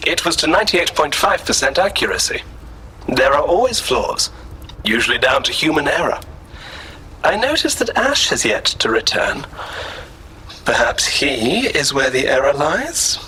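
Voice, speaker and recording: A man's voice: fairly high pitch, sing-song, moderate pace, sharp tone, slight background noise, poor audio. A man playing a prim, defensive robot companion in an audio drama, speaking with formal, unflappable precision. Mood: smug, defensive.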